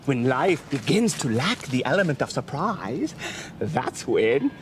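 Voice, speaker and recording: A man's voice: mid-range voice, big pitch swings, measured pace, background noise. An actor playing a sly, teasing old male caretaker in a video game cutscene, with music behind him. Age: older.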